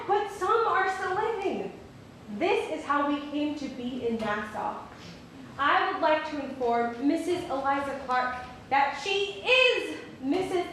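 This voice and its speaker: A woman's voice: extremely high pitch, a bit bright, full character voice. A woman giving a dramatic reading as a hopeful granddaughter telling her family's history. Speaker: stage reader. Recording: very echoey hall, faint noise.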